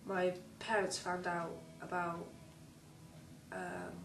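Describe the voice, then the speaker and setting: Hesitant young woman, flat voice. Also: on the low side. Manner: hesitant, many pauses. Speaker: young mother. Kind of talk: personal testimony. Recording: roomy, distant, faint noise.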